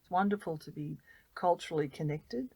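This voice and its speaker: A middle-aged woman, speaking earnestly and warmly as a guest in a casual interview, sometimes repeating words as she gathers her thoughts. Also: deep voice, brisk, breathy edge, no echo, poor audio. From Australia. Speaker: guest volunteer.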